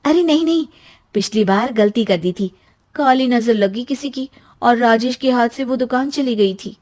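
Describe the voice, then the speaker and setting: Lady doing a naive chatty young wife for a cartoon, high excitable voice. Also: moderate pace, lightly acted. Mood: happy.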